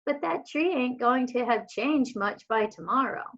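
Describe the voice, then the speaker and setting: A woman voicing a kindly old lady from a children's storybook, folksy and unhurried. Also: sits high, lively intonation, full character voice. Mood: gentle, reassuring. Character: kindly old Southern woman with poor eyesight, warm and folksy. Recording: dull lo-fi home recording.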